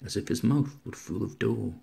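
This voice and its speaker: Very husky, low-pitched man reading aloud. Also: normal speed, warm tone. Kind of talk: informal introduction and dramatic poetry recital.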